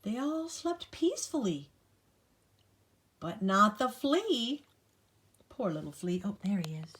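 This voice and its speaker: A woman's voice: mid-range voice, very animated, slow, fairly bright tone. An older woman, a grandmother, reads a picture book aloud to young children in a playful sing-song way, adding chatty asides to the listeners. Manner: playful, sing-song listing with dramatic emphasis; talks directly to young listeners.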